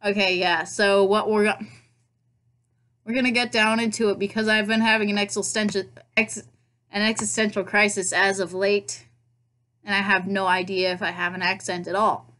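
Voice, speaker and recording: A woman's voice: medium pitch, flat delivery, normal speed, pushed and bright. A young woman with a Nebraska accent, talking casually and self-mockingly to a webcam in her bedroom. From Nebraska, USA. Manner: chatty, self-mocking, playful asides. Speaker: vlogger. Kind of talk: casual accent tag video. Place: bedroom at home, indoors. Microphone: laptop webcam.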